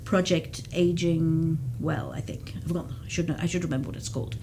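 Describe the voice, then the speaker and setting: Low-voiced woman explaining senior care. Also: steady tone, a little fast, vocal fry, warm tone, a little hiss or hum. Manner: earnest, explains at length with many restarts. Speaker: geriatric case manager, guest. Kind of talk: explanatory interview talk. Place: community TV studio.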